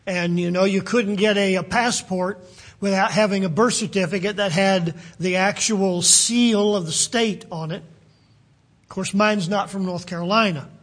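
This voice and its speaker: An older man from West Virginia with a West Virginian accent, preaching a sermon in a halting way with many fillers and restarts. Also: sits high, fairly even intonation, fairly bright tone. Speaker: pastor.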